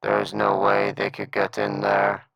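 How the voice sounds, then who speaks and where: A man playing a scheming villain in a comedic sci-fi radio play, deadpan and deliberate, with a gravelly, husky voice. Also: lowish voice, dry recording, muffled recording, full character voice. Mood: cold, deadpan. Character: scheming male sci-fi villain, slow and ponderous.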